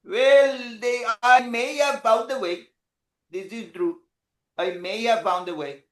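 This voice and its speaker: A man plays a grown son with an Indian accent who humors his vain mother in a hesitant, stammering way. His voice is high, and it rings in a roomy space. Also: slow pace, fairly bright tone. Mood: dutiful. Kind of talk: improvised comedy scene. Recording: roomy echo.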